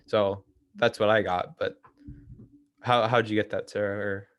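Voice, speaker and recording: A man's voice: fairly low pitch, level tone, unhurried, no room sound. A man hosting an informal book discussion, explaining ideas in a hesitant way and often correcting himself. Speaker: host of a political theory read-along.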